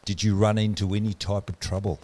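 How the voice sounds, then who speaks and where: Man, podcast co-host, low pitch, earnest, direct. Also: level tone, brisk, dark tone, a little hiss or hum. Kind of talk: casual chat.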